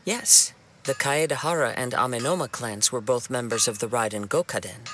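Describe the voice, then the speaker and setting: A man voicing a humble, gentle young wandering samurai in a video game, speaking in a formal, measured and earnest way. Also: medium pitch, background noise, lightly acted. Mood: polite, composed. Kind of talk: scripted video game dialogue. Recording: game music behind the voice. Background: background music.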